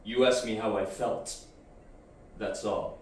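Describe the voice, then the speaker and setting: An actor playing an aloof, coldly superior post-human warrior in a sci-fi audio drama, speaking in deliberate, halting, solemn phrases. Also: male voice, lowish voice, steady tone, a little mellow. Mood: flat, defensive. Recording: very echoey, distant, faint noise.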